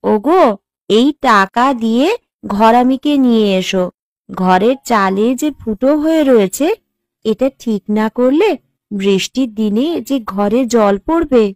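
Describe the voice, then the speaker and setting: A woman's voice: a little high, animated, slow, darkish tone, no room sound, clean studio sound. A woman voicing a shrewd, protective young village wife with a firm, instructing manner in a cartoon. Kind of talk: Bengali cartoon voice acting.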